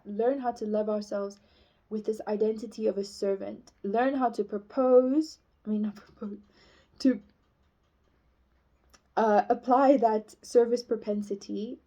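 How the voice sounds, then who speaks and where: A woman's voice: medium pitch, steady tone, measured pace, mellow. A young woman giving a casual spiritual talk as a teacher, rambling with many fillers and restarts.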